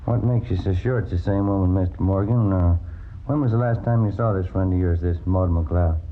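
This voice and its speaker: A man's voice: low voice, fairly quick, lightly acted. A man playing a polite, cautious police detective in a radio crime drama, courteous and questioning. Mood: polite, doubtful. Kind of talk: old-time radio crime drama acting. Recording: dull, faintly noisy vintage radio recording.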